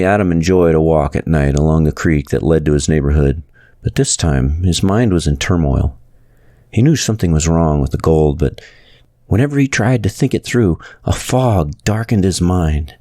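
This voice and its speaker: A man's voice: rumbling low, expressive, moderate pace, dark tone, no echo, studio quality. A man reading a novel aloud as a scripted audiobook narrator.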